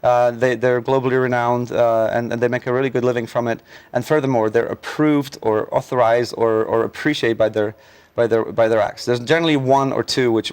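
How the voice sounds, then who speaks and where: Male guest, Polish-born, halting and filler-heavy, mid pitch. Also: a little mellow, clean tone. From Poland. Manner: hesitant, many fillers and restarts. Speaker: festival organizer, guest. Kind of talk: casual interview chat.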